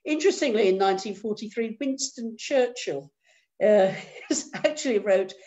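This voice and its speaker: A woman's voice: mid-range voice, a little mellow, lo-fi sound. A woman professor giving an earnest talk at a rally over a video call, often restarting her words. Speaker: guest speaker, professor.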